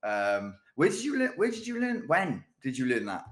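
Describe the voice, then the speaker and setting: A man's voice: fairly high pitch, huge pitch swings, normal speed, a bit bright. A man hosting a casual podcast interview, talking in a chatty, rambling way with lots of fillers and restarts. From United Kingdom. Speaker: podcast host.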